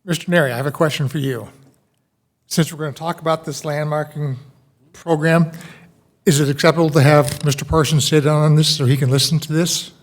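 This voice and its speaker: A man with a somewhat high voice chairs a city commission meeting. His voice is going hoarse, and he speaks informally and chattily into a table microphone in a council chamber. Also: steady tone, fairly quick. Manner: informal, chatty, self-deprecating. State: losing his voice.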